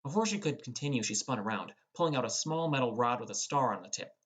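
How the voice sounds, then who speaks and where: Male narrator, first-person fantasy audiobook, animated intonation, scripted storytelling. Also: mid-range voice, quick pace.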